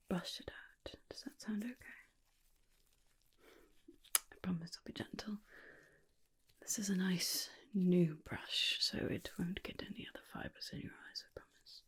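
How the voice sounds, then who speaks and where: A woman voicing a doting, gentle female vampire in a soothing, unhurried ASMR roleplay, with a breathy whisper. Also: medium pitch. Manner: soothing, unhurried, tender reassurance with repeated soft phrases.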